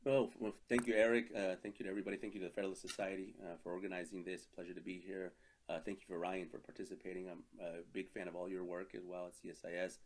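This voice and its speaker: A man's voice: low voice, little pitch movement, quick pace. A man speaking on a webinar panel, slightly nasal from a cold, with frequent restarts and fillers. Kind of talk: webinar talk. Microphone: video call.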